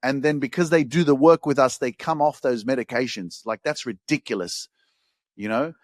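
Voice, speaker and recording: A man's voice: medium pitch, normal speed, dry recording, studio quality. A middle-aged man giving a loose, conversational motivational talk as a podcast host, full of fillers and swearing for emphasis.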